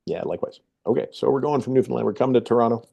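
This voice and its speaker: A man's voice: medium pitch, rapid-fire, creaky, dark tone, slightly rough. A man hosting a webinar, introducing each guest with a playful game-show flourish. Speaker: webinar host. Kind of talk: moderating a webinar.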